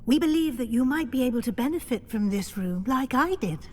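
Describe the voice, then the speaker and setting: A woman playing a kindly, brisk middle-aged magic professor in a video game, husky, with an instructive, encouraging manner. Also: sits high, expressive, a little mellow, a little hiss or hum. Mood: warm, explaining. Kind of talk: video game character acting.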